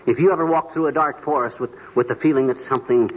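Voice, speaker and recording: An actor playing a boastful, bumbling husband in a 1940s radio comedy, blustery and wisecracking. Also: male voice, medium pitch, quick pace, lightly acted. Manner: blustery, wisecracking, shouting excitedly. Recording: muffled, noisy 1940s radio broadcast.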